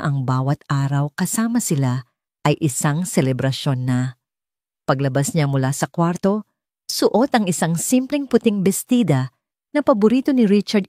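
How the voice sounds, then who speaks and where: Female Filipino audiobook narrator for romance fiction; low register, expressive swings in pitch, dry close sound. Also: dry recording. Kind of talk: scripted romance story narration.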